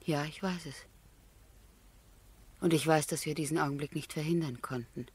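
An actress playing a refined married noblewoman in a radio drama, measured and formal, with restrained yearning. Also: low voice, fairly even intonation, a little mellow, breathy edge. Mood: melancholy. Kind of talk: 1970s German radio play acting.

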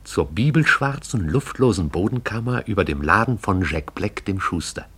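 A man narrating a radio play in a lyrical, measured way. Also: fairly low pitch, expressive, fairly bright tone, husky. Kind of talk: poetic radio drama narration.

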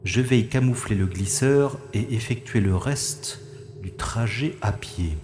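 In a reverberant space with faint noise, a man acts out a secret agent in a science-fiction audio drama. He talks himself through a covert mission slowly and deliberately. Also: lowish voice, close to an everyday voice. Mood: focused, wary. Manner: deliberate, narrating his actions to himself.

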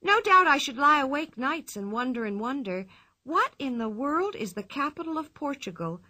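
A woman's voice: very animated, normal speed, bright, forceful tone, no room sound, full character voice. A woman voicing a bold, cheeky young girl, bouncy and carefree. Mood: playful. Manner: high bouncy voice, merry and carefree.

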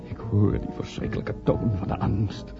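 An actor playing a haunted, lovelorn man in a radio drama, speaking in a brooding, poetic, hushed way. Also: on the low side, little pitch movement, normal speed, natural voice. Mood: anguished. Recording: dull old radio recording with music.

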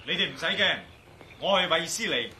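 A man acting a resolute, wary yet reassuring adventurer in a radio drama, with a Hong Kong Cantonese accent, speaking in colloquial, expressive monologue. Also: on the high side, normal speed, a little hiss or hum. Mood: calm reassurance. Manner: colloquial, self-talking, expressive.

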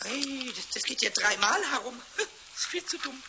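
A man playing a cheeky, childlike little kobold in a children's radio play, with a squawky voice and excitable, repetitive stammering chatter. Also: normal speed. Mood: gleeful, scheming. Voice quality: high, squawky cartoonish voice. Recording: hissy, clicky old radio play recording.

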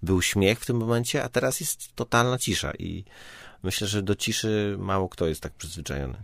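A man's voice: lowish voice, moderate pace, creaky, a bit bright, dry recording, clean studio sound. A man talking casually as a guest, informal and hesitant, thinking aloud and letting sentences trail off. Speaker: podcast guest, stage comedian and improviser. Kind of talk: casual conversation.

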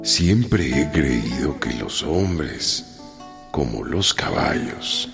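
An actor playing a gruff but courtly Mexican revolutionary chief in a radio drama, speaking with colloquial authority. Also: male voice, rumbling low, expressive, very slow, heavily acted. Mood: slow, low, musing. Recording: radio drama with music and crowd behind.

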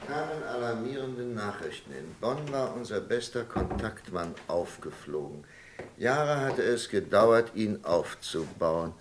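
A man playing a courteous, preoccupied boss working late in a German radio crime drama, speaking politely and with measured hesitation. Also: fairly low pitch, expressive. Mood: grave, narrating. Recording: noisy old radio recording.

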